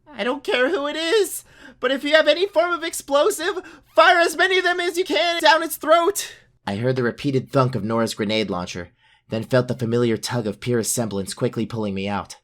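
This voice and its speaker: A man's voice: very high-pitched, sing-song, brisk, a bit bright, exaggerated voice. A man playing a brave but self-doubting young fantasy warrior, narrating his own story in an audio drama. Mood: desperate shouting.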